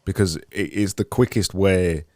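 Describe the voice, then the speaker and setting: A man in his thirties with a Yorkshire accent, hosting an interview and speaking softly with frequent stumbles and repeated words. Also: low voice, no echo, clean studio sound. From England. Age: 30s. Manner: halting, repeats words, soft-spoken. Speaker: podcast host. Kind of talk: casual video-call interview. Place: home studio room. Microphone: studio mic on boom arm with headphones.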